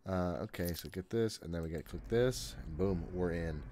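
Low voiced guy in his 30s, jittery and sweary, talking himself through a horror game, noticeable noise behind him. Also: moderate pace, dark tone. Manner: nervous, jokey, profane, self-narrating. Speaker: gaming streamer. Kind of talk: casual playthrough commentary of a horror game. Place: small room at home, indoors. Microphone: desk condenser mic close by, headphones on.

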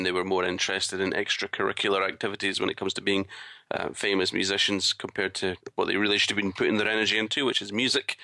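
A man's voice: on the low side, creaky, pushed and bright, no room sound. A man co-hosting a podcast in casual, wry, joking chat. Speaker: podcast co-host.

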